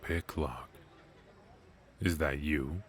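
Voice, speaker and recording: A man playing a suave, ruthless mafia boss in a noir roleplay audio drama, taunting and teasing with menace and drawn-out pauses. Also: rumbling low, slight background noise, exaggerated voice. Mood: gloating, teasing. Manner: unhurried, taunting, drawling with pauses and soft chuckles.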